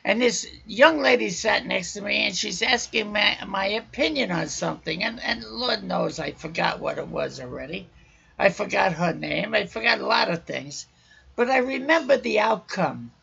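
Raspy loud guy preaching on his podcast, gravelly and bright, kinda dull lo-fi sound. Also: high voice, expressive, moderate pace. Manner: loud, raucous delivery. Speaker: podcast host.

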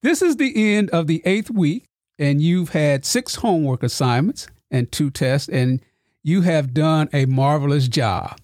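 A man's voice: on the high side, animated, a little slow, darkish tone, dry recording, studio quality. A man hosting a podcast interview with his students, enthusiastic and formal in his introductions. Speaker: podcast host and instructor.

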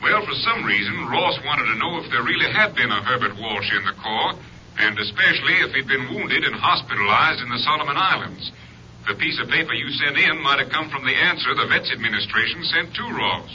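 An American actor playing a brisk Texas Ranger captain giving clipped, official reports in a 1950s radio drama. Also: male voice, mid-range voice, expressive, bright, forceful tone. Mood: official, informative. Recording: muffled, faintly noisy old radio recording.

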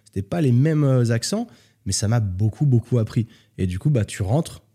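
A man from France, a podcast guest, telling stories in a relaxed, casual chat. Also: medium pitch, normal speed, slightly rough. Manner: relaxed storytelling, animated when joking.

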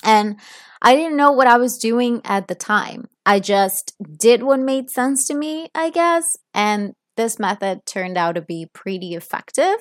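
A woman's voice: a little high, lively intonation, unhurried, no echo, studio quality. An Italian woman with an American-sounding English accent, hosting her own podcast in a casual, upbeat solo talk to listeners. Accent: American-sounding English learned as a second language. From Italy (Milan). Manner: chatty and upbeat, addresses listeners directly. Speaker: podcast host, English teacher.

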